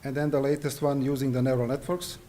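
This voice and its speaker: A man whose first language is Serbian gives an invited conference lecture, halting with many fillers and restarts. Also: medium pitch, level tone, crowd noise. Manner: hesitant, frequent fillers and restarts. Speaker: conference presenter. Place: conference hall.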